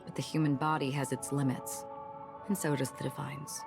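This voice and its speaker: A low-voiced, enigmatic female wanderer with a sword, speaks in grave, measured phrases. She is calm and melancholic, a video game character with music playing clearly behind her. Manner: measured, grave, philosophical delivery. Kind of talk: scripted game voice acting.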